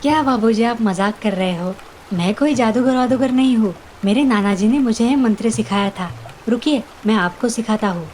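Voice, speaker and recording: A woman voicing a sweet, polite little village boy with an earnest, childlike manner in an animated fairy tale. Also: on the high side, normal speed, slight background noise, close to an everyday voice. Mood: cheerful, polite.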